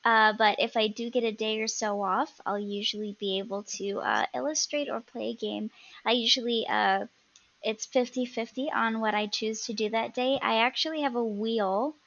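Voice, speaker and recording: A woman guest answering interview questions in a soft-spoken, giggly, slightly hesitant way. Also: fairly high pitch, steady tone, normal speed, highs cut off.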